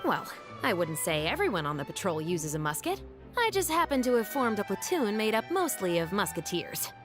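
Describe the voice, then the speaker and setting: A woman playing a composed, wry patrol captain in a video game, with a measured, explanatory way of talking. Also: medium pitch, sing-song, close to an everyday voice. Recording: music behind the voice. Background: game music.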